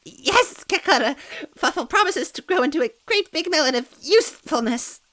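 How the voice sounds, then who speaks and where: A high, bright little minion, grovelling and jittery in a storybook tale. He stammers and talks about himself in odd, broken phrasing. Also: animated, moderate pace, heavily acted. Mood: eager. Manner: speaks of himself in third person, stammers. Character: nervous, obedient, grovelling male minion with broken grammar. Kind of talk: storybook reading with character voices.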